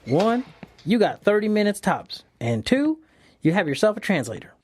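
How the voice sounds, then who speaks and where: An actor playing an upbeat, hurried police officer with a folksy way of talking. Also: male voice, sits high, very animated. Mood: eager. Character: eager, chatty male police officer. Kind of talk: audio drama acting.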